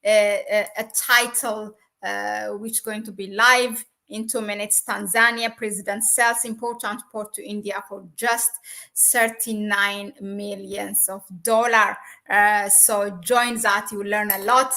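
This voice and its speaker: A woman's voice: mid-range voice, little pitch movement, measured pace, pushed and bright. A woman from Ethiopia whose first language is Amharic, hosting a live stream interview and talking with many hesitant fillers.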